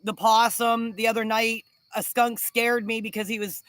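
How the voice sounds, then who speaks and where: A woman co-hosts a casual live-stream chat, telling animated stories in a blunt way and swearing now and then. Her voice is bright and forceful and sounds close and dry. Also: on the high side, moderate pace, no room sound. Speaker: homestead channel co-host.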